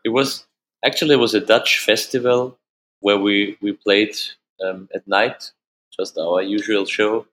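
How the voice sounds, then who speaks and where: Low-voiced Austrian man, halting. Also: steady tone, fairly bright tone, rough recording. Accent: Austrian German. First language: German. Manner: halting, repeats words, laughs easily. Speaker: musician guest. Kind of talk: casual interview answers.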